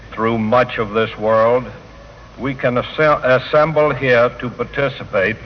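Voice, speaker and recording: A man, a government official, reading a solemn formal message with measured pauses on an old 1940 radio broadcast. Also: medium pitch, lo-fi sound, slight background noise. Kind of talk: solemn formal read speech.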